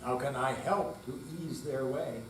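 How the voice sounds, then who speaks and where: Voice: mid-range voice, measured pace. An older person giving a dramatic reading of verse and monologue as a readers theater performer. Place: hall at a senior center. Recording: distant echoey room with faint mains hum.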